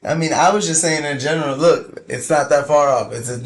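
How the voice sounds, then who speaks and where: A man's voice: a little high, brisk, a crowd in the background. A young man co-hosting a podcast, chatty and joking in a casual way. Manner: chatty, joking, laughs often. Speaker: podcast co-host.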